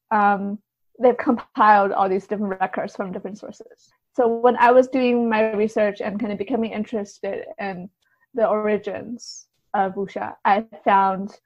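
Woman guest with slightly dull audio. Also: mid-range voice. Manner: tentative, hedging, peppers talk with "like". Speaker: podcast guest. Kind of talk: casual interview, explaining.

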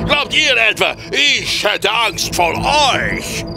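A man plays a cocky bandit chief in a crime radio play, his high voice swinging widely and unhurried. He is boastful and defiant, with loud music and night insects behind him. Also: very animated, vocal fry, exaggerated voice. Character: swaggering, reckless male gang leader and smuggler robber. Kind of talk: German period crime audio drama.

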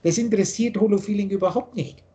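Older guy holding forth over a webcam call, mellow dark voice, pushy teacher vibe. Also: high-pitched, fast talker. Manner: insistent, gestures a lot while holding forth. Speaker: spiritual teacher. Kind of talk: lecturing on a video call. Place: home office, indoors. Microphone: video call webcam.

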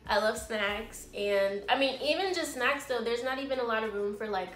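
A woman's voice: on the high side, normal speed, fairly bright tone, slight background noise. A woman in her twenties from California, chatting casually through her day in a vlog, rambling with lots of fillers, filmed on a phone at arm's length in a small apartment. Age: 20s. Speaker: lifestyle vlogger. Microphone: phone camera held at arm's length.

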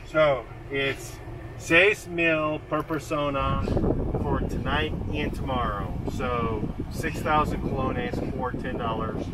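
A man's voice: medium pitch, fairly even intonation, slow pace. Easygoing man chatting from a driver's seat. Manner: easygoing, drops in Spanish words. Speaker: travel vlogger. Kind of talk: casual travel vlog chat. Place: camper van driver's seat. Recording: road and engine noise behind.

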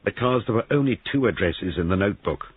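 A man playing a forceful, grave police sergeant with insistent, clipped questioning in an audio story, on a narrow phone-like recording. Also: on the low side, lively intonation, quick pace, slightly rough, no room sound, voice pushed up. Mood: firm, grave. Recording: narrow-band, phone-like radio sound.

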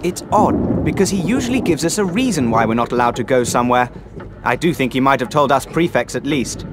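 A man's voice: mid-range voice, animated, fairly quick, background noise, natural voice. A man voicing an officious, self-important teenage prefect in a fantasy game, formal and bossy. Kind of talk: British fantasy game acting.

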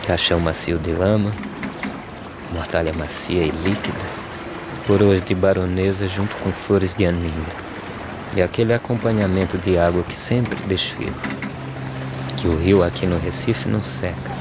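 A weary, despairing Brazilian migrant man in an animated verse drama. His voice is fairly low and he speaks in solemn, measured verse. Clear outdoor noise runs behind him. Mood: despairing, grave. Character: weary, despairing male drought migrant, gaunt, questioning.

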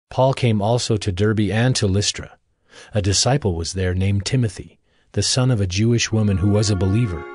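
A man narrating scripture as a scripted audio drama, in a measured, formal manner. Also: on the low side. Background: music.

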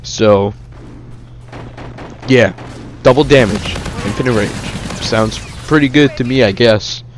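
A man's voice: medium pitch, slow pace, long pauses, over music. A young man casually reviewing a shooter game over gameplay, rambling with many fillers and restarts. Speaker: gaming YouTuber.

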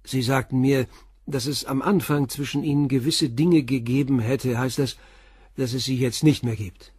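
Actor as a probing, composed police commissioner. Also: male voice, medium pitch, steady tone, darkish tone, breathy edge, lightly acted. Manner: probing, measured questioning. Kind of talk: German crime radio play dialogue.

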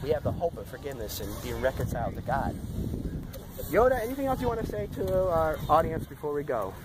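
A young man talks in a fairly high, hugely animated voice. He presents a casual outdoor puppet show as a street evangelist, with loud noise all around. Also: fast talker. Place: outdoors on a sandy beach playground. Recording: very noisy outdoor camera audio.

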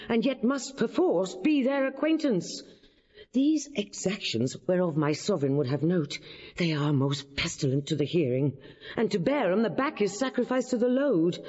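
A woman's voice: mid-range voice, warm tone. A woman playing a dignified, earnest queen pleading boldly in classical verse for an audio drama. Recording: muffled, long hall reverb.

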